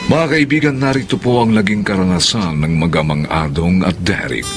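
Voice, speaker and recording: A man hosting and narrating a radio drama in a deliberate, theatrical announcer style. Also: sits low. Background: music.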